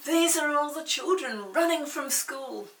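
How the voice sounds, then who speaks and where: An older woman reading a story aloud to young children, expressive, pausing to explain and ask the listener questions. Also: sits high. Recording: roomy, distant mic with faint hiss.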